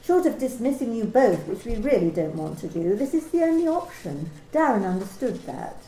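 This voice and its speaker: A woman's voice: fairly high pitch, sing-song, moderate pace, dark tone, echoey room. A woman playing a cold corporate manager. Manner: cool, corporate, condescending. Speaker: company manager. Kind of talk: amateur radio play reading.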